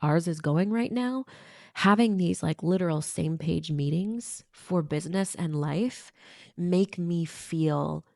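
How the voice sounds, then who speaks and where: A woman's voice: lowish voice, takes it slowly, creaky, darkish tone, no room sound, studio quality. A woman co-hosting a casual podcast chat with her partner, reflective and earnest, sounding nasal from a stuffed-up nose. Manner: reflective, earnest, laughs lightly. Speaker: podcast co-host.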